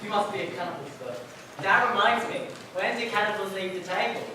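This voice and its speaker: A man's voice: sits high, a little fast. A man playing a cheeky comic sidekick trading corny jokes in a stage pantomime double act. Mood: joking. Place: school stage in a large hall. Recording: distant echoey hall, loud noise.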